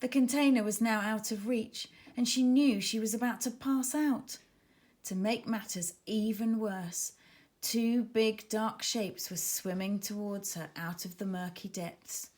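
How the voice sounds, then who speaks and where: A woman's voice: medium pitch, unhurried, a little mellow. A woman narrating a children's story expressively, with dramatic emphasis as she reads. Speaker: author reading own children's book.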